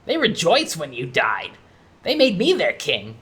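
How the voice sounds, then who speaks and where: A woman's voice: low-pitched, wildly swooping pitch, measured pace, fairly bright tone, husky, exaggerated voice. A woman voicing an indignant, sarcastic male squirrel king in a story, scoffing and exclaiming. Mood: scornful.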